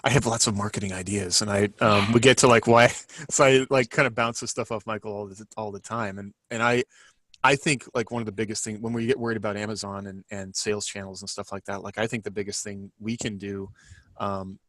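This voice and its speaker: A man on a podcast panel in casual discussion, working out his ideas in a halting, circling way. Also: lowish voice, steady tone, fairly bright tone, no echo. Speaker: podcast panelist on a book series team.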